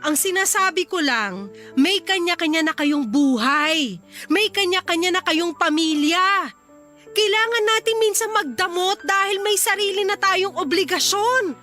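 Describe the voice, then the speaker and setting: An actress playing a sharp-tongued young Filipino wife and mother in a radio drama, expressive and emotional. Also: very high-pitched, measured pace, faint music behind, heavily acted. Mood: hurt, resigned.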